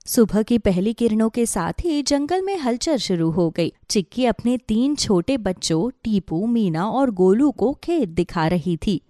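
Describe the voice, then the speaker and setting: A woman's voice: on the high side, lively intonation, a little slow, hardly any pauses, dark tone, no echo, clean studio sound. A woman narrating a scripted children's animal story.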